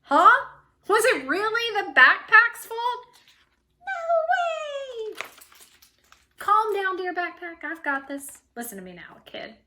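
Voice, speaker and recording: Woman putting on a high, indignant, preachy talking pen. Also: huge pitch swings, takes it slowly, pushed and bright, full character voice. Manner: theatrical, pompous, emphatic lecturing.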